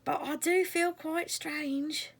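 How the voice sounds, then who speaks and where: A woman's voice: high voice, steady tone, takes it slowly, pitched above the performer's own voice. A woman voicing a friendly, sleepy male caterpillar in a children's storybook, in a drowsy, yawning manner. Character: friendly sleepy male caterpillar turned moth, gentle and kindly.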